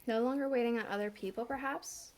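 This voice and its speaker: A woman's voice: fairly high pitch, fairly quick. Congested woman reading tarot. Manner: relaxed, chatty, many fillers. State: congested, slight cough; a bit winded. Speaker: tarot reader.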